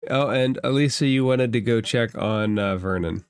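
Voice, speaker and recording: A man's voice: mid-range voice, moderate pace, smooth, dry recording. Dry, close-sounding man as game master. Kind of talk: running a tabletop roleplaying game, improvised.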